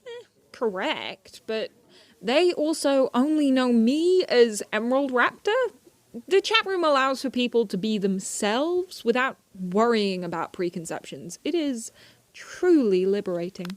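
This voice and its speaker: A woman playing an earnest, bookish high-school student with precise, slightly hesitant speech in an audio drama. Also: big pitch swings, measured pace, no room sound. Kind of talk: scripted audio drama acting.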